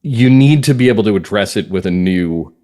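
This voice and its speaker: Low-pitched American man, reflective and emphatic. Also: expressive, a little fast, warm tone. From northern Massachusetts, US. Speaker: guest playwright and comedy writer. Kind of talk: conversational podcast interview.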